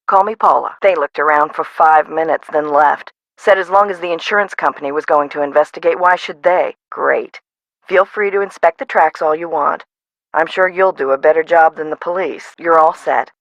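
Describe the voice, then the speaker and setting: A woman's voice: sits low, normal speed, dry recording, dull audio. An actress voicing a frazzled, no-nonsense woman who runs an amusement park in a video game, conversational and dry. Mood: brisk, confiding. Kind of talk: scripted video game voice acting.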